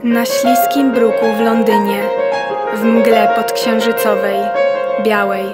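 Young woman doing grave poem reading, very even tone, loud piano behind, radio play. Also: on the high side, slow pace. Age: young adult. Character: grave young female poetry reciter. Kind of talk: poetry recitation in a radio play.

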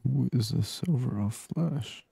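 A man doing soft-spoken ASMR gaming commentary, murmuring and musing aloud to himself close to the microphone. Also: medium pitch, expressive, a little slow, vocal fry, breathy edge, no echo. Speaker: gaming channel host. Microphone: close microphone, dry.

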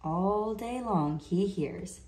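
A woman reading a children's picture book aloud in an expressive, deliberate storytelling manner. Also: medium pitch. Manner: slow, deliberate, animated delivery for children. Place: indoor room. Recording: roomy indoor echo.

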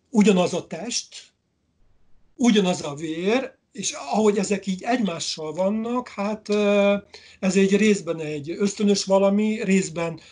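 A man in his sixties, a novelist, answering interview questions slowly and thoughtfully over a webcam video call, often pausing to search for words. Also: high-pitched. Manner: slow, musing, searching for words. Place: indoor room at home.

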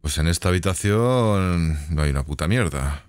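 A man's voice: low voice, animated, moderate pace, slight background noise. A man giving casual, slangy let's-play commentary with mumbled asides and swearing. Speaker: gamer playing through a game. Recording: game music behind.

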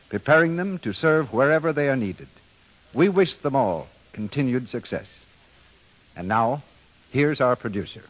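A man announcing on 1930s radio in a formal, measured, scripted reading style. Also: mid-range voice, poor audio.

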